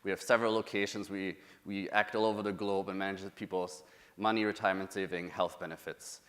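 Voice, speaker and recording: A man's voice: low voice, level tone, a little fast, fairly bright tone. A man in his thirties with a German accent, giving a conversational conference talk, full of fillers and restarts. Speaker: conference speaker. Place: large conference hall. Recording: long hall reverb.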